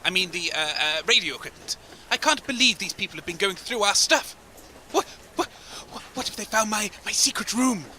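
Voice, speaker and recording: An actor voicing a pompous, grumbling, wine-loving little creature who co-hosts a radio show, blustering and stammering melodramatically in an audio comedy. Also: male voice, sits high, expressive, fairly quick, bright, forceful tone, roomy sound, slight background noise, exaggerated voice. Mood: grumbling, indignant. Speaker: radio co-host.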